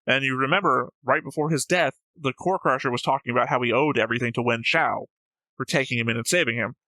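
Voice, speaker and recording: A man with a very bright, forward voice at a middling pitch, recorded close and dry. He co-hosts a reaction show, debating casually and pedantically, correcting fine points. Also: quick pace, no room sound, poor audio. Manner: pedantic, correcting his co-host. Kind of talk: casual argumentative discussion.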